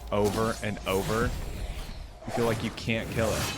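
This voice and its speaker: A man with a fairly low voice hosts a casual reaction video. He talks informally with plenty of slang, commenting as he goes. Game trailer and theme music plays clearly behind his voice. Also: little pitch movement, normal speed.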